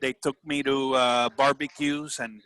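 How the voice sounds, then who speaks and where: A man's voice: medium pitch, flat delivery, unhurried, fairly bright tone, clean tone, dry recording. A man with a Puerto Rican accent talking earnestly in a casual group discussion, often checking that listeners agree. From Puerto Rico. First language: Spanish. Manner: earnest, seeks agreement with "right?" and "you know". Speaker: business executive leading a group discussion. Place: video call.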